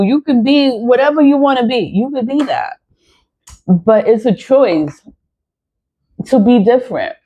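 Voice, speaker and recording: Woman, forties, spiritual vlogger; blunt, foul-mouthed rant, emphatic repetition, middle pitch, dull lo-fi sound. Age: 40s. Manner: blunt, profane, ranting. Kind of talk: unscripted monologue to viewers. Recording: dull lo-fi recording.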